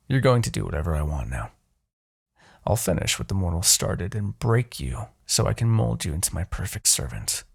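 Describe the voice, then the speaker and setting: A man playing a smug, seductive, manipulative demon king in a fantasy audio roleplay, with a gravelly, husky voice and a taunting, theatrical, condescending delivery. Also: sits low, heavily acted. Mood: menacing, seductive. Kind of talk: fantasy ASMR roleplay acting.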